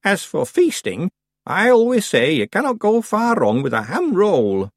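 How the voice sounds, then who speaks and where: A man voicing a very old, calm and stern witch woman with a dry, matter-of-fact manner. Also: sits high, measured pace, no echo, voice pushed up, exaggerated voice.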